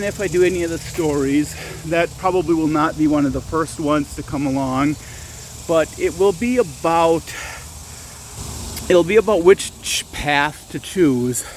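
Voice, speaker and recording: A man's voice: a little high, slight background noise. A man hosting his own channel, talking casually while walking outdoors at dusk, rambling with frequent restarts and self-corrections. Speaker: channel host and storyteller. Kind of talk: casual walking vlog talk.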